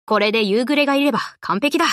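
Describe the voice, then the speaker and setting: A woman voicing a brash, tomboyish young warship girl in an anime game, talking in rough, cocky, casual boyish slang. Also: on the high side, racing, fairly bright tone, no echo, clean studio sound, lightly acted. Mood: breezy, casual. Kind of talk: Japanese anime game voice lines.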